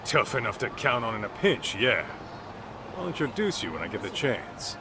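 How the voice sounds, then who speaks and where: A man's voice: mid-range voice, sing-song, normal speed, background noise. A man voicing an easygoing, big-brotherly detective in an English game dub, talking casually and reassuringly. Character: laid-back rough-edged male detective, big-brotherly. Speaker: detective's partner.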